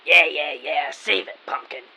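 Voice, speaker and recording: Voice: medium pitch, a little slow, pushed and bright, heavily acted. Curt, grumpy woman shopkeeper, as a narrator voices her. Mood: dismissive. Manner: gruff, dismissive, slangy. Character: curt, grumpy female shopkeeper dealing in gadgets.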